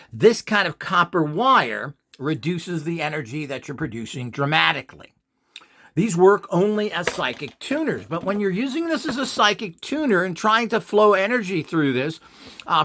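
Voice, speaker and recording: A man's voice: a little high, a bit bright. A middle-aged man giving an unscripted instructional talk, emphatic and self-assured, often stopping to correct himself. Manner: frequent fillers and self-corrections. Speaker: instructional video host.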